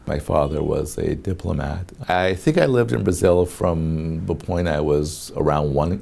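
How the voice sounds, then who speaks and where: Deep gravelly voiced guy in his 50s, from korea, lawyer telling his life story slow with lots of ums. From South Korea. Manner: halting, many ums and uhs, soft chuckles. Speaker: attorney interviewee. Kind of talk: reflective personal storytelling.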